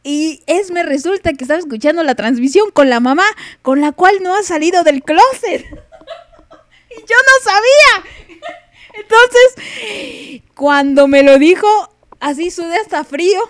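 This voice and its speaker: A woman's voice: high-pitched, expressive, measured pace, no room sound. A young woman with a Mexican accent hosting a casual live radio podcast, playful and teasing, laughing often. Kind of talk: casual chat on a live stream.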